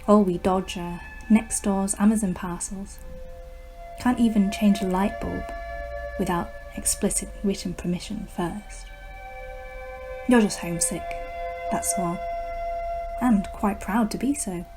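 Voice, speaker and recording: A woman performing a poetic radio-drama monologue as a sinister, obsessive plant whisperer, speaking in a hushed, deliberate, dramatic way with lingering pauses. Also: mid-range voice, steady tone, music in the background, close to an everyday voice, poor audio. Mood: brooding, then tender, eerie.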